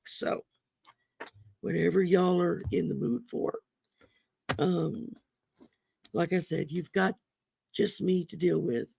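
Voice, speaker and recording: A woman with a drawl and a deeply gravelly, husky voice from damaged vocal cords, talking slowly. She hosts a relaxed card-reading livestream into a computer mic, with a lively pitch and a dry close sound. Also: no echo. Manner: slow, folksy, chatty with many ums. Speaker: host and oracle card reader. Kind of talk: casual livestream chat.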